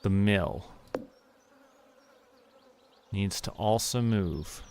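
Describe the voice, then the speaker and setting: A man from Canada streaming a farming game, talking to himself in a relaxed, muttering way as he plays. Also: on the low side, very slow, a little hiss or hum. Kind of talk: casual gameplay commentary. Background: game music.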